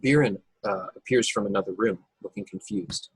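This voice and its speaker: A man reads stage directions as narrator of a table read. His voice is fairly low and very husky, with a dry sound free of room echo. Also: moderate pace. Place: remote video call.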